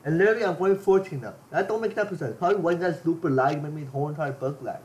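A young man in casual online game chat, speaking in halting, repetitive phrases. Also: a little high, noisy background. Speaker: gamer.

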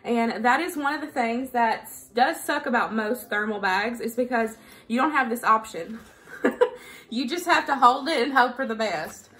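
A woman's voice: fairly high pitch, moderate pace, sharp tone. A woman, chatting casually and informally to camera as a vlogger. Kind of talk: casual chat to camera, product review and haul. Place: living room at home.

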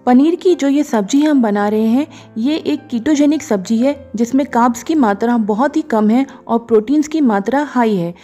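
A woman calmly narrating a cooking recipe step by step as a voice-over, slipping English words into her sentences. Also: fairly high pitch, moderate pace, few pauses. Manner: calm, instructive, mixes English words into Hindi. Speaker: cooking show host. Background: soft background music.

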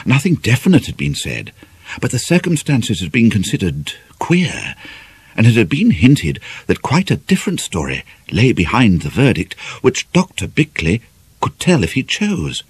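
A man's voice: fairly low pitch, huge pitch swings, normal speed, husky. A man with a British accent reading a novel aloud as a dramatic audiobook narrator.

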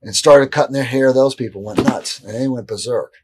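A middle-aged man as an interview guest, telling stories in a rambling, animated way with frequent restarts, seated indoors. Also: medium pitch, a little fast, husky. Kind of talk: casual storytelling in a shoot interview. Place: indoor room, seated on a leather couch.

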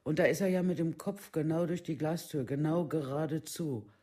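An actor playing an alcoholic's worn-down, earnest relative, recounting colloquially in a soft husky voice in a documentary radio play. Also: male voice, fairly high pitch, steady tone, normal speed, natural voice. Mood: bewildered, subdued.

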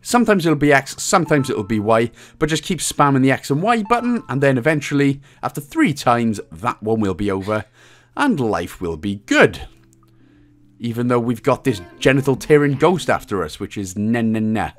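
A man's voice: mid-range voice, very animated, moderate pace. A man from Wales, hosting a casual video game walkthrough with jokey, crude humour. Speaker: host of game achievement guides.

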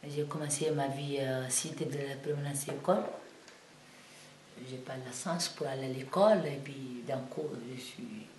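A woman's voice: very deep voice, fairly even intonation, normal speed, a little mellow, echoey room, a little hiss or hum. A woman from Guinea with a Guinean French accent, talking as a singer in an interview, in simple, broken French full of restarts that grows heated and emphatic. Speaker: singer and musician.

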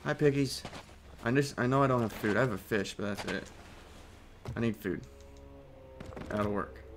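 A man with a put-on British accent, giving casual, excitable commentary over his own gameplay and swearing as he reacts. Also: mid-range voice, normal speed, faint music behind. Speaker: gaming channel host.

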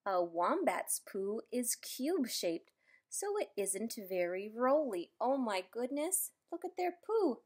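Slow-paced, higher-voiced woman reading to kids. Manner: playful, expressive, simple child-directed delivery with exclamations. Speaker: picture book read-aloud reader. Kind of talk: children's read-aloud with chatty asides to young listeners. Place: indoors in a room at home.

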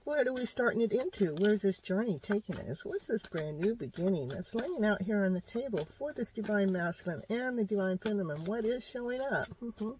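A woman's voice: mid-range voice, lively intonation, a little fast, few pauses, darkish tone, slight background noise. A middle-aged woman giving an informal, rambling tarot reading, giggly and exclamatory, often repeating her phrases. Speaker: tarot reader hosting a show. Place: inside a parked car. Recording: slightly distant in-car microphone.

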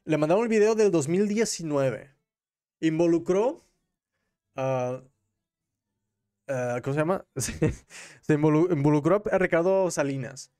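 Young Mexican man, higher-pitched voice, chatting casually. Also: lively intonation, a little slow, pauses often, warm tone, clear, resonant tone. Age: young adult. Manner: informal, slangy, rambling with self-corrections. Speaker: commentary streamer and host. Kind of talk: casual livestream commentary on news and gossip. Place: small room at home. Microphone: close desk microphone on a boom arm.

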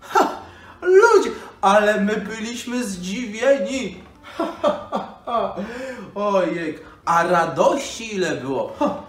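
A middle-aged man playing an excitable, folksy old storyteller for children, exclaiming in amazement, halting and repeating himself. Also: high voice, recorded from a distance, heavily acted. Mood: amazed. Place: living room by a fireplace. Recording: roomy, off-mic, music behind.

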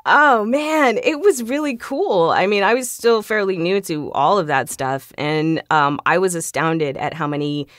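Chatty woman guest on a podcast, lowish voice, very dry sound, laughs easily. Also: unhurried, hardly any pauses, a bit bright, dry recording. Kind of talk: casual conversational interview talk.

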